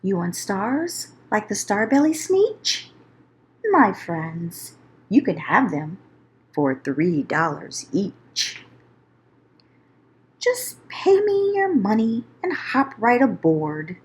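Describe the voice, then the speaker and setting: A woman voicing a sly, smooth-talking male swindler from a children's storybook, coaxing customers with a theatrical sales pitch. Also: huge pitch swings, takes it slowly. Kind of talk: children's storybook read-aloud.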